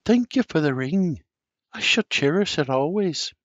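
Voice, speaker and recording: Male reader voicing a gentle young woman, raised pitch; dry, dull recording. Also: animated, a little slow, no room sound. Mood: soft. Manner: high put-on voice, dialect speech. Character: young runaway bride, soft and earnest.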